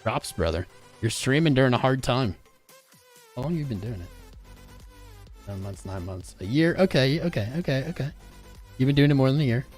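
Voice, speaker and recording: Casual male streamer, middle pitch, slangy and rambling, voice worn thin, soft music playing in the background. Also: lively intonation, normal speed, long pauses. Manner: rambling, slangy, talks straight to chat. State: losing his voice. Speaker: crypto livestream host. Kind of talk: casual livestream chat.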